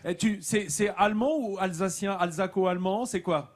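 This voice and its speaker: High-voiced male TV host. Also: moderate pace. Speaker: TV show host. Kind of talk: casual chat.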